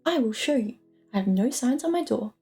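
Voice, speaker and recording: A woman voicing a small, indignant gentleman hobbit in a storybook, speaking with pompous formality. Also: fairly high pitch, lively intonation, a little fast, faint music behind. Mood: indignant, dignified.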